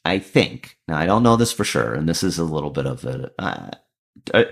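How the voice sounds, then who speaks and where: A man with a deep voice, a comedian and lawyer telling a self-deprecating story as a podcast guest. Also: big pitch swings, moderate pace, gravelly. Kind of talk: casual storytelling.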